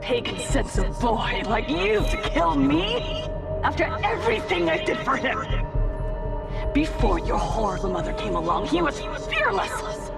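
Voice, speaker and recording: An actress playing a bitter, contemptuous female military commander in a video game, speaking with deliberate, dramatic scorn. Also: high voice, big pitch swings, gravelly, over loud music, full character voice. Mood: scornful, bitter. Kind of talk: video game cutscene acting.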